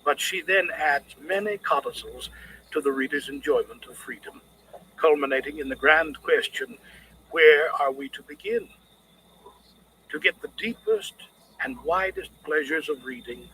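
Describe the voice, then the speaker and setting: A man reading scripted audiobook narration in a measured, literary, expressive manner. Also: on the high side, a little hiss or hum, rough recording.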